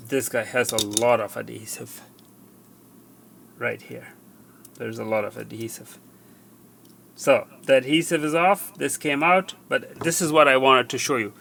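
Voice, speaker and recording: A man with a creaky voice of average pitch talks through a hands-on repair tutorial. He goes step by step, haltingly, often repeating himself. Faint background noise sits under the recording. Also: bright, forceful tone. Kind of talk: hands-on how-to demonstration.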